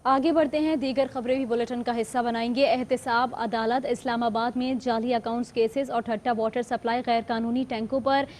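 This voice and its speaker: A woman with a Pakistani Urdu accent reading the bulletin as a studio news anchor, steady and formal. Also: a little high, fairly quick, few pauses, a little hiss or hum.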